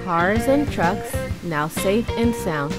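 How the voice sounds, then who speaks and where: A woman reading a rhyming children's story aloud in a sing-song, drawn-out way. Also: mid-range voice, lively intonation. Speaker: storybook reader. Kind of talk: expressive children's read-aloud narration. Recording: music behind the voice. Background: loud music.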